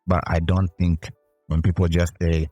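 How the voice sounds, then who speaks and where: Male co-host, very low voice, earnest and preacherly, dry close recording. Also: little pitch movement, normal speed, warm tone, dry recording, lo-fi sound, soft background music. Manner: earnest, preacherly, builds his point. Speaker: podcast co-host. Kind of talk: casual chat on faith.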